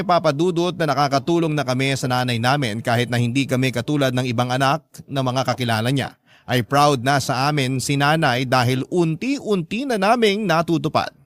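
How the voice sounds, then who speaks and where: A man's voice: medium pitch, normal speed, fairly bright tone, no room sound, studio quality. A man with a Filipino accent reading out a listener's heartfelt letter as scripted radio drama narration.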